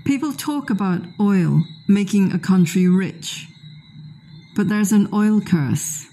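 Slow, earnest woman from Guyana. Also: mid-range voice, warm tone. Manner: earnest, measured, indignant. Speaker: environmental activist. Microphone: phone.

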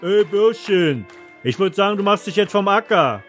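A man's voice: sits high, animated, normal speed. A man acts out a violent male circus bully for an audio drama. He is vulgar and commanding, and delivers every line as a threat. Music runs beneath the raised voice. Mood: gruff threat. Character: menacing, foul-mouthed male circus thug, violent bully.

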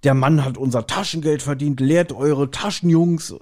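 A man hosting a podcast, casually retelling a story in an animated way and acting out short quotes. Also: on the high side, moderate pace. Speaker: podcast host. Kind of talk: casual chatty episode recap.

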